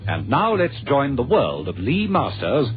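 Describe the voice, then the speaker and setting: Hugely animated male vintage radio announcer. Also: medium pitch, unhurried. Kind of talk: dramatic scripted 1970s radio announcing. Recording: muffled old radio, loud music behind.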